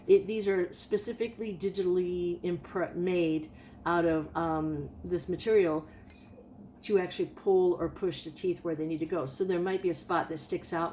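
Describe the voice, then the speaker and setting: A woman's voice: lowish voice, darkish tone, slight background noise. A woman in her late fifties telling her own story in a chatty, rambling vlog, often restarting her sentences. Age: 50s. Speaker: vlogger. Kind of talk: casual personal testimonial.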